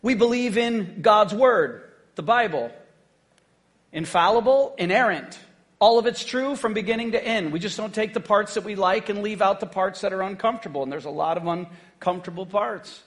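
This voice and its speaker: A man's voice: sits high, normal speed, a bit bright. A man preaching a conversational sermon to his church, joking and often checking in with the congregation. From United States. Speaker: pastor.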